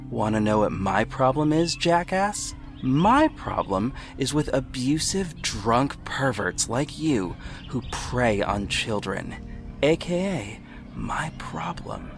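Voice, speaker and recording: A man playing a protective, self-assured ex-cop detective with a measured, firm delivery in an audio drama. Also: medium pitch, gravelly. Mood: contemptuous. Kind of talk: first-person audio drama narration and dialogue. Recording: music bed behind the voice. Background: music.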